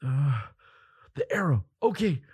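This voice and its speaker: A man playing a devoted, protective boyfriend in a dramatic audio roleplay, with an emotive, halting delivery. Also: on the high side, very animated, darkish tone, husky, no echo, clean studio sound, exaggerated voice. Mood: frantic, pleading. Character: devoted boyfriend of a vampire, protective, frantic, defiant.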